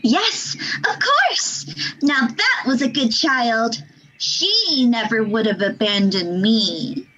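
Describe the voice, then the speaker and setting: A woman's voice: a little high, expressive, takes it slowly, bright, forceful tone, full character voice. A woman voicing a proud, wounded teddy bear knight who speaks in grand, indignant proclamations in an amateur radio play. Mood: wistful. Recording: dull lo-fi recording.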